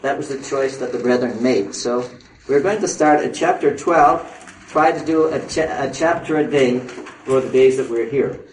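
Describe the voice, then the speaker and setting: An older missionary speaks haltingly to a conference audience, stopping often on fillers and restarting his phrases. He sounds set back from the mic, with the roomy ring of a hall and a faint hiss behind him. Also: medium pitch. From Northern Ireland. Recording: roomy hall recording from a distance.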